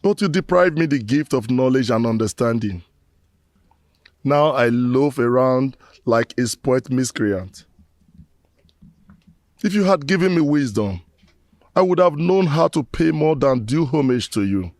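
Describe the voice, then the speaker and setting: A man voices a repentant middle-aged man pouring out a formal lament full of proverbs. His voice is mid-pitched with a gravelly texture. The sound is dry and close. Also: lively intonation, measured pace, pauses often, darkish tone, no room sound. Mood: remorseful. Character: remorseful middle-aged man pleading with ancestors.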